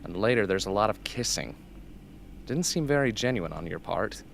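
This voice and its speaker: A low-pitched young man played by a male actor speaks as a wounded former captive. He is bitter and searching, halting and accusing, in a radio drama with slight background noise. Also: expressive, gravelly. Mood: probing. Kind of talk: amateur radio play acting. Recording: noisy amateur recording.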